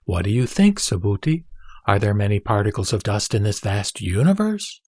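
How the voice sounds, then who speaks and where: A man playing the Buddha as a serene spiritual teacher, speaking in a measured, solemn, instructive way in a dramatised scripture reading. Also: lowish voice, big pitch swings, a little mellow, no echo, clean studio sound. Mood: measured teaching.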